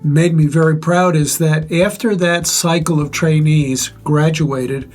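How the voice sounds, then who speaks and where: An older man with a relatively loud voice, reflectively sharing life stories and advice in measured, pause-filled speech. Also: fairly high pitch, fairly even intonation, faint music behind. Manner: measured, pausing often with "uh". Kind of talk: reflective conversational storytelling.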